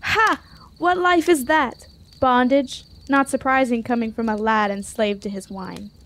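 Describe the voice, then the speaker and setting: An actress playing a proud, sharp-tongued young warrior maiden in a fantasy radio drama, speaking in formal, defiant phrases. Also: female voice, a little high, steady tone, a little slow. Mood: scornful. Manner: formal, archaic, declamatory phrasing.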